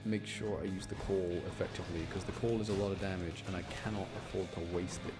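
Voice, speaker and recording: Low, creaky-voiced man streaming a game. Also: fairly even intonation, fast talker, over loud music. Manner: rambling, thinking aloud. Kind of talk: casual live game commentary to chat.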